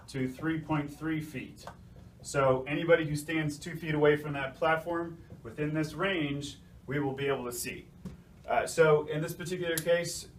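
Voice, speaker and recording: A man giving an informal technical explanation as a presenter, hesitating often with uh and um between phrases. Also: a little high. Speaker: technical presenter from a design services company. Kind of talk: informal explainer walking through a worked calculation. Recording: distant mic, faint room noise.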